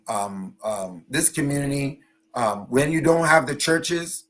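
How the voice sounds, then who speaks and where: A man's voice: mid-range voice, a bit bright. A man speaking as a panelist in an impassioned, explanatory way, stressing points and often checking in with the listener. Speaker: panelist, engineer and cultural rights advocate. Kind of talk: impassioned advocacy talk at a virtual panel.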